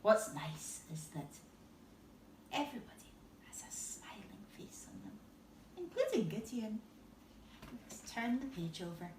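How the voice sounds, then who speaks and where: A woman with a fairly high voice tells a children's picture-book story in an unhurried, very lively way. She stretches out key words and slips in asides to her young listeners. The recording is roomy, with her voice a little away from the microphone. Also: whispered. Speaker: teacher reading a bedtime story. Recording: roomy echo, distant microphone.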